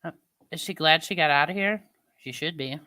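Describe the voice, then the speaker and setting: A woman with a St. Louis accent in casual chat with viewers, talking in a slow, drawling way. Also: low-pitched, pushed and bright. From Midwestern United States. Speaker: streamer chatting with viewers.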